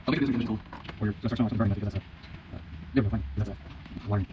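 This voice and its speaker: A man's voice: on the low side, steady tone, very fast, muffled recording, background noise. A man casually narrating as he installs parts in a Jeep, muttering to himself and getting mildly frustrated. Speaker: DIY vehicle modder. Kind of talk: casual narration while working. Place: in and under a Jeep.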